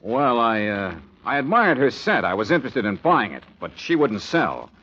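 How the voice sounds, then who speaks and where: An American actor playing a wry, breezy amateur sleuth in a 1940s radio drama. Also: male voice, medium pitch, big pitch swings, normal speed, bright, forceful tone. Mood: casual, probing. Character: wisecracking, easygoing male amateur sleuth. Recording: muffled vintage radio recording.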